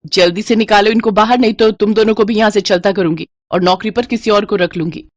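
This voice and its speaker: A woman voicing a haughty, angry rich mistress in a moral story, sharp, contemptuous and threatening. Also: medium pitch, steady tone, brisk, dry recording, studio quality, natural voice.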